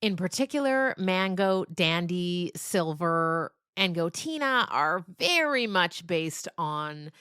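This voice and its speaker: A middle-aged woman talking casually and reflectively as a podcast interview guest, telling stories in an animated way. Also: lowish voice, slow pace, few pauses, smooth, no room sound, studio quality.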